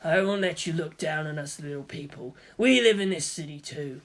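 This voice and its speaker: Narrator doing an angry teenage jock, gruff, lowered voice, stubborn, confrontational, storybook bully. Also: normal speed, natural voice. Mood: bitter anger.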